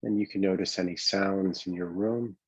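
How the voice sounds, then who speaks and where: A man with a husky voice leads a guided meditation as a coach, speaking gently and conversationally, unhurried and halting, with long pauses. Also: low voice, fairly even intonation. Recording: dull, boxy, roomy lo-fi sound.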